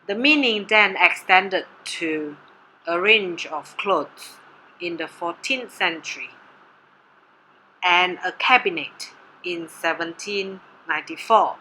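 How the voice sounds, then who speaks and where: A woman whose first language is Mandarin, reading her art research presentation in a measured, deliberate way. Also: lowish voice, pushed and bright, slight background noise, poor audio. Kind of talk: read-aloud research presentation.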